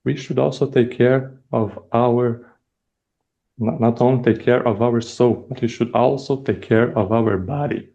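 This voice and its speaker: Young Brazilian man, non-native English, deliberate prepared speech; slow, gravelly, mellow. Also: mid-range voice, fairly even intonation, lo-fi sound. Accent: Brazilian Portuguese. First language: Portuguese. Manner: measured, deliberate delivery with pauses. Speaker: first-time club speaker.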